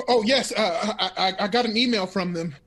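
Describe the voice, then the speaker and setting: A woman's voice: medium pitch, normal speed, natural voice. A woman playing a resentful, dutiful older sibling in an audio drama, sarcastic and defensive. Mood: subdued.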